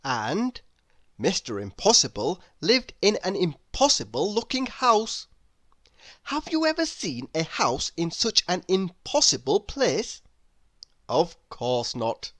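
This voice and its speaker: A woman's voice: lowish voice, huge pitch swings, takes it slowly, a bit bright, no room sound, studio quality. A woman reading a children's story aloud as narrator. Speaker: storybook reader.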